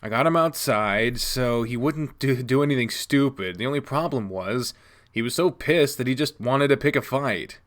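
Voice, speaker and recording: A man's voice: mid-range voice, moderate pace, fairly bright tone, natural voice. A man voicing a guarded teen boy. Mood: subdued. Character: troubled teen boy athlete, quiet, guarded.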